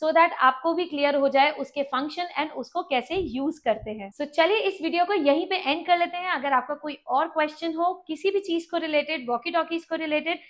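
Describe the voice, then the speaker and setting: A woman's voice: high voice, normal speed, hardly any pauses. A woman presenting an explainer tutorial, going through things step by step and mixing in English terms. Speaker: video presenter.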